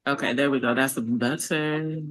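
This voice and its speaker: A woman's voice: a bass voice, fairly even intonation, moderate pace, fairly bright tone. A woman hosting a casual live-stream interview over a video call, chatty and talking through connection problems aloud. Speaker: livestream host.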